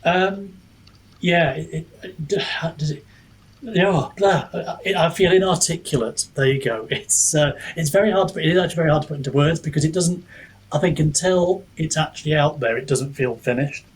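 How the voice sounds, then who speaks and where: A man's voice: a little high, normal speed, husky. A man speaking casually as a guest author in a podcast interview, self-deprecating and a little rambling as he feels for his words. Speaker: guest author, fantasy writer and book reviewer.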